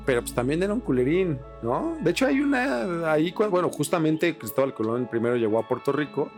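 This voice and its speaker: A man's voice: on the high side, big pitch swings, fairly quick, soft background music. A man with a Mexican accent talking casually on a podcast, informal, slangy and opinionated. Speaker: podcast co-host.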